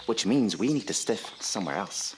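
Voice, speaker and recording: An actor playing an excitable, theatrical, conspiratorial ally in a Victorian audio drama. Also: male voice, on the low side, moderate pace, noisy background, natural voice. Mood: excited, conspiratorial. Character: excitable male ally urging Arthur to hunt for truth. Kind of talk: audio drama acting of Victorian period fiction.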